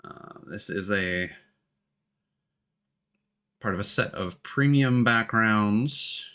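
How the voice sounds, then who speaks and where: A man's voice: on the low side, slow, sharp tone, clear, resonant tone. A man in his thirties chatting casually on a game livestream, rambling and opinionated as he talks. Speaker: game streamer.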